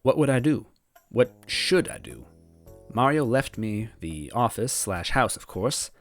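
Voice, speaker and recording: A man's voice: medium pitch, sing-song, a little slow, creaky. Guy doing a nervous unsure young man telling his own story, second-guessing himself, music under it. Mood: anxious, brooding. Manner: hesitant, wavering, second-guessing. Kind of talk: first-person storybook narration. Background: background music.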